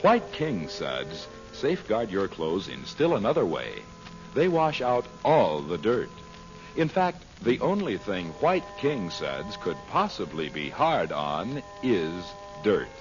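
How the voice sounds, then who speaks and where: A man's voice: fairly low pitch, big pitch swings, slow pace. A man announcing and narrating in a formal, emphatic old-time radio style. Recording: muffled old radio recording. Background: loud music.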